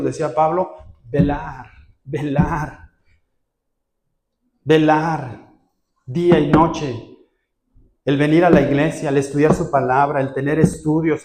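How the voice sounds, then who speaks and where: A pastor preaching a sermon in a lively way, acting out quoted voices and often correcting himself mid-phrase. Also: male voice, on the high side, roomy sound.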